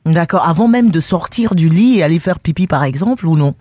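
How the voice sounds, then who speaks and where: A man's voice: on the high side, animated, brisk, a little mellow, no room sound. A man hosting a casual, chatty interview, asking questions in a teasing, inquisitive way. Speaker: interviewer, radio host.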